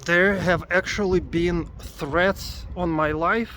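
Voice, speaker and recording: A man in his forties from Russia talks to his phone camera outdoors among tall buildings, rambling and halting with plenty of fillers. His voice sits a little high for a man. Faint street sounds run behind him. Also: steady tone, fairly bright tone, a little hiss or hum. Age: 40s. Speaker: vlogger. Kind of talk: casual vlog update.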